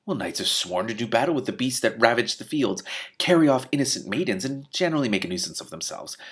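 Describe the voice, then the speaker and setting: A man's voice: medium pitch, very animated, fast talker, fairly bright tone, slightly rough. A man playing a clumsy, polite, easily embarrassed knight with a fussy, apologetic manner. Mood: reciting, reluctant. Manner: apologetic, fussy, mildly exasperated.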